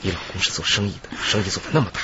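An actor playing a controlled, threatening bank robber in a radio drama. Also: male voice, deep voice, very fast, natural voice. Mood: cold menace. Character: menacing male bank robber threatening his hostage. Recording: dull, lo-fi, noisy radio drama track.

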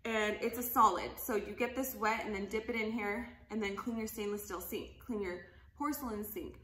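A woman's voice: mid-range voice, steady tone, normal speed, fairly bright tone, echoey room, slight background noise. A woman in her thirties chatting enthusiastically as she demonstrates cleaning products, laughing often, in a casual home video. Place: living room, indoors, at a table.

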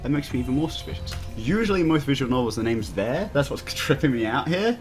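A man's voice: medium pitch, sing-song, quick pace, music in the background. A man hosting a let's play, reading a visual novel aloud with playful banter and often stumbling over the text.

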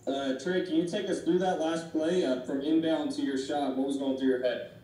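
Male reporter off-mic, mellow tone, plain direct questions in an echoey room. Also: fairly high pitch, steady tone, moderate pace, far from the mic, slight background noise. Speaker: sports reporter.

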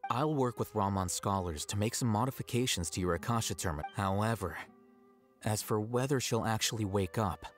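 Composed young male scholar, acted by a man, low voice, calculating, measured, matter-of-fact, video game dialogue. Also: faint music behind, natural voice. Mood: calm, calculating.

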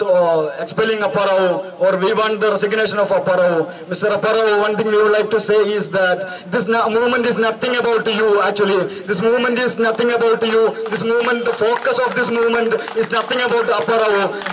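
A young man with an Indian accent speaks in a high-pitched voice at an outdoor rally. He hammers his points by repeating phrases. The sound is distant, through a PA, with crowd noise around him. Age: 20s. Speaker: student activist speaker. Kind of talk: impassioned political speech at a rally. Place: outdoor night rally stage. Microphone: handheld microphone through PA.

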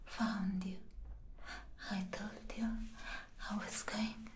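A woman voicing an ancient, grave and protective elder vampire sister in a breathy, dramatic whisper for an ASMR roleplay. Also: medium pitch, flat delivery, slight background noise, lightly acted. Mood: tender, protective. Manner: slow, dramatic, intense delivery. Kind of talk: soft-spoken ASMR roleplay.

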